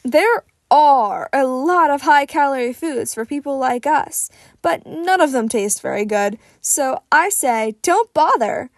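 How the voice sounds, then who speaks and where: A woman's voice: high voice, lively intonation, a little slow, no room sound, studio quality. A woman voicing a big, jovial, food-loving male superhero mentor in a fan fiction story. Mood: hearty, jovial. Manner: hearty, jovial.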